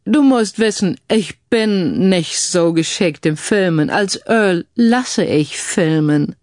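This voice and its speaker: Woman playing a suave, wry British gentleman, dry close sound. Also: fairly low pitch, expressive, slow, no room sound. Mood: wry, explaining. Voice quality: sprinkles English phrases into speech.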